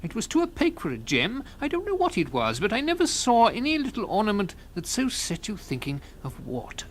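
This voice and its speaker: Animated, curious gentleman storyteller. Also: high voice, fairly quick, a little hiss or hum. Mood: descriptive, wondering. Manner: expressive, genial, inquisitive. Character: sociable, curious gentleman narrator recounting an encounter. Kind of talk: dramatised literary narration and dialogue.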